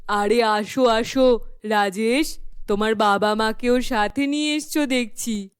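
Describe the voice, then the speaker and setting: A woman voicing a middle-aged village mother in a cartoon, fussy and quick to nag. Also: a little high, clean tone, no room sound, clean studio sound, natural voice. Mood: welcoming, eager. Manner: scolding, loud, fussy. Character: nagging, exasperated middle-aged village mother of a grown daughter. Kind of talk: animated cartoon acting.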